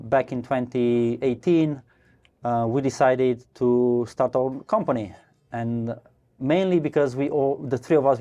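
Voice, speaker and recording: A man's voice: mid-range voice, warm tone, dull audio. A man from Romania, a company co-founder, giving casual interview answers in a brewery podcast studio, hesitant and full of restarts. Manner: hesitant, many fillers and restarts, laughs easily. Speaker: guest, startup co-founder.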